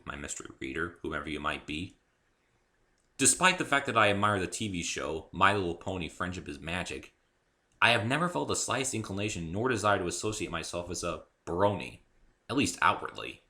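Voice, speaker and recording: A man's voice: low-pitched, pushed and bright. A man giving a theatrical, articulate reading of his own story, with emphatic phrasing. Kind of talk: dramatic reading, scripted narration.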